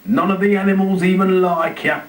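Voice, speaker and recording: A man's voice: sits high, steady tone, a little fast, pitched above the performer's own voice, natural voice. A man voicing a self-important, self-pitying zookeeper who mutters and exclaims dramatically to himself in a children's storybook. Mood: gloomy, self-pitying. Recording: roomy, distant TV speaker playback.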